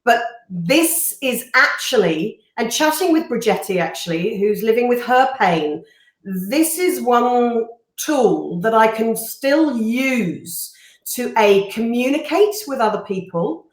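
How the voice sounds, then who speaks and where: Chatty, bright-voiced woman co-host. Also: a little high, takes it slowly. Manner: chatty, animated, laughs easily. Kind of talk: casual live-stream chat. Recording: roomy echo.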